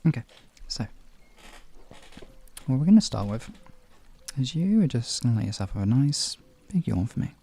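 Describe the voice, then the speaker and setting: A man with a deep voice hosting a relaxation stream, chatting informally and gently giving guided instructions. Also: very animated, normal speed, vocal fry, long pauses, slightly rough. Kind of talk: casual chat moving into guided relaxation and hypnosis instruction.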